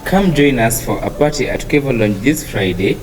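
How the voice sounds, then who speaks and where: A man's voice: medium pitch, expressive, moderate pace, lightly acted. A man playing a sociable, party-loving university student in a radio drama over music. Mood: inviting. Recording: music behind the voice. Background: background music.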